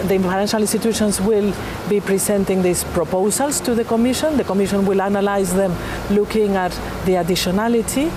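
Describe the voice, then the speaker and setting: Methodical woman with background noise. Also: mid-range voice. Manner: methodical, explanatory. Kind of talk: interview answer.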